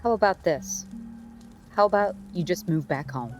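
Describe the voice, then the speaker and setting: A woman playing a low-voiced, practical mother. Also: expressive, normal speed, darkish tone, faint music behind, lightly acted. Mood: firm, coaxing. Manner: firm, coaxing, matter-of-fact. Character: practical, firm mother of two grown sons. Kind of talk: audio drama acting.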